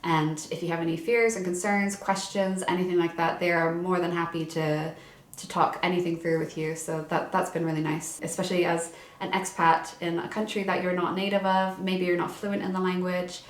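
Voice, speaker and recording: A woman's voice: fairly low pitch, level tone, moderate pace. A young woman vlogging in a casual, chatty way, full of fillers and restarts. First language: English. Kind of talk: casual chat. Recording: echoey room.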